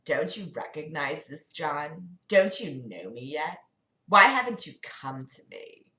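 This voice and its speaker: Woman doing a soft, soothing, sinister cloaked god-man. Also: low voice, very animated, unhurried, pitched below the performer's own voice, exaggerated voice. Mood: soothing, coaxing. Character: mysterious cloaked male deity, sinister, soft-spoken and taunting. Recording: echoey room.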